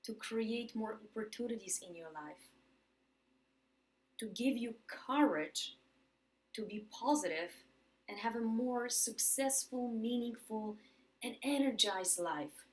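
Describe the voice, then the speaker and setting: A woman's voice: on the high side, low-quality recording. Emphatic Polish-accented motivational woman. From Poland. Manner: emphatic, repeats words for stress, slows on key phrases. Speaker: motivational speaker. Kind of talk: motivational talk to camera.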